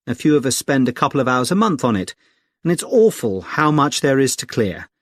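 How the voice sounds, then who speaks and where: A British man as a friendly conservation group secretary, recorded close and dry. His pitch is middling and he explains things politely and clearly in a measured, scripted everyday conversation. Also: sing-song, dry recording. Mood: helpful, informative. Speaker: actor in a listening test dialogue.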